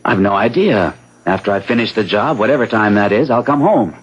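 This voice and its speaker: A man's voice: fairly low pitch, fairly quick, breathy edge. A male actor playing a secretive, defensive young commuter husband in a 1970s radio drama, curt and guarded. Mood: defensive, irritable. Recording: muffled old radio recording.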